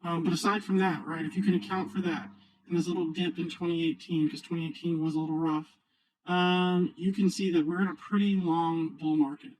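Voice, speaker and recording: A man speaking through a voice changer, giving casual, halting, unscripted screen-share commentary as a video host. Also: fairly high pitch, level tone, low-quality recording. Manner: halting, rambling, unscripted delivery. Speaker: video host, new finance YouTuber.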